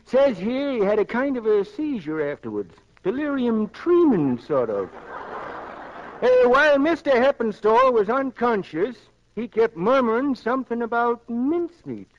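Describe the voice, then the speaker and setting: An actor playing a folksy, teasing middle-aged farmer husband in a 1940s radio drama. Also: male voice, very high voice, big pitch swings, measured pace, full character voice. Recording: muffled 1940s radio broadcast.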